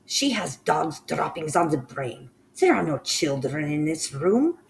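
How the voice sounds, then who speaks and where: A woman's voice: low-pitched, very animated, unhurried, vocal fry, slight background noise, full character voice. A woman voicing a ruthless female witch leader who barks harsh orders. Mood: scornful. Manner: harsh, commanding, screaming orders.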